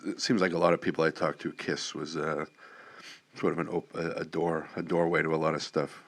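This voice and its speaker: A man in his fifties hosting a podcast interview, easygoing and quick to agree. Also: very deep voice, level tone. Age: 50s. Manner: easygoing, lots of fillers and agreeing "yeah". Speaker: podcast host. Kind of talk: casual interview.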